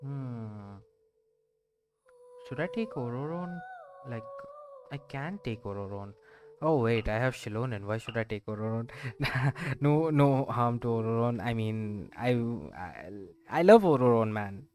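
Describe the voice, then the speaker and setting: A man's voice: medium pitch, expressive, unhurried. A man giving casual gameplay commentary as a streamer, thinking aloud in a rambling, hesitant way. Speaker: gaming streamer. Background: loud game music.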